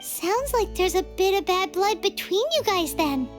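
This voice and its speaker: A tiny floating fairy girl for a video game, extremely high and squeaky. The delivery swings wildly up and down, cheeky and exclamatory, with game music playing behind. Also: lively intonation, measured pace. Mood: curious, impatient. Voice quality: squeaky, childlike. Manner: speaks of self in third person, exclamatory. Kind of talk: video game character acting.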